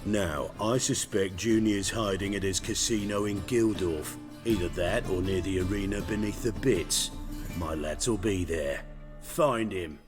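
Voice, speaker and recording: An actor playing a blunt, commanding gang boss in a video game. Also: male voice, sits low, unhurried, music in the background. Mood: blunt, businesslike. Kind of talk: video game cutscene acting.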